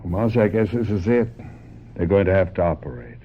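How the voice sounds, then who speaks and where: A man's voice: deep voice, lively intonation, fairly quick. A man playing a loyal older family friend in a radio mystery drama, folksy, blunt and protective. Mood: awkward, concerned. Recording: dull lo-fi radio drama, faint noise.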